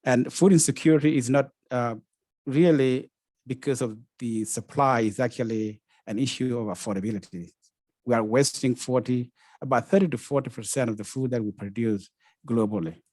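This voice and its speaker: Halting man from South Sudan, dry sound. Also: mid-range voice, darkish tone, no room sound. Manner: halting, frequent uhs and repeats. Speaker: researcher, panelist. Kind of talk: webinar panel talk.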